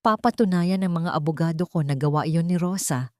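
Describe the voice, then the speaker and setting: A woman's voice: on the low side, fairly quick, no room sound, lightly acted. Woman acting scheming rich wife in an audio drama, venomous and taunting, close dry sound. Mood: defiant. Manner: taunting, threatening, defiant. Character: venomous, greedy, scheming wealthy wife and mother.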